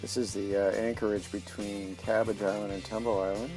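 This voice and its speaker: Gravelly low flat narrator over guitar. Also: male voice, brisk, poor audio. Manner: plain, matter-of-fact recounting.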